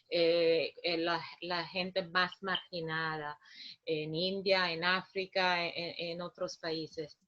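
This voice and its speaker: A woman who speaks as a non-native, hosting a webinar with spontaneous, halting remarks as she searches for words. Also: medium pitch, fairly bright tone. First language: not Spanish. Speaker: webinar host. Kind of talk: spontaneous closing remarks and thanks. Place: online video webinar. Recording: dull lo-fi online call.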